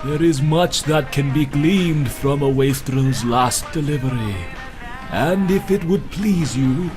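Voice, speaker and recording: A man's voice: a little high, slow, music in the background. A man playing a grandiose, morbid wasteland courier in a video game, speaking in florid, archaic, ominous phrases. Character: grandiose morbid wasteland courier who believes he is death's ferryman. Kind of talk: dramatic video game monologue.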